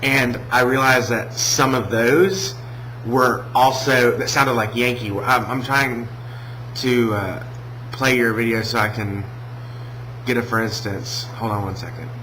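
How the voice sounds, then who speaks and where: Bright-voiced Southern American man. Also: medium pitch, unhurried, slight background noise, low-quality recording. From Alabama, USA. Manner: hesitant, many ums and restarts. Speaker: vlogger. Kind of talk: casual video response.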